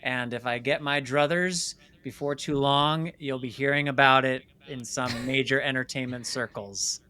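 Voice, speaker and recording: A man speaking as the guest in a candid interview, telling stories in an animated way. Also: mid-range voice, moderate pace. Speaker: guest, filmmaker and company CEO.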